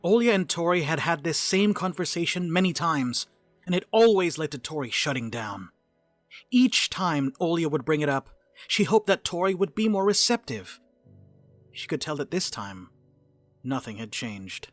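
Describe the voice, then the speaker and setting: A man's voice: on the high side, very animated, moderate pace, no room sound, soft background music. A man giving scripted third-person narration for a dramatic reading.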